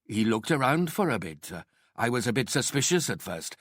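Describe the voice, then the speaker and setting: A man's voice: mid-range voice, sing-song, moderate pace, a bit bright, no echo. An elderly Indian shopkeeper, acted out by a male audiobook narrator, courteous and proud of himself. He talks at length in an Indian accent, eager to help, in a dry recording. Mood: eager, helpful. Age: 60s. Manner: deferential, talkative, proud. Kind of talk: audiobook character acting.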